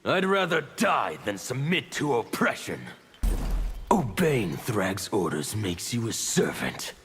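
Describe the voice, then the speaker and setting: Gravelly, proud defiant warrior. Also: male voice, fairly low pitch, big pitch swings, slow, a bit bright, faint music behind, heavily acted. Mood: proud defiance. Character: proud defiant warrior who bows to no one. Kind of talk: video game fight intro banter. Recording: long hall reverb, some noise.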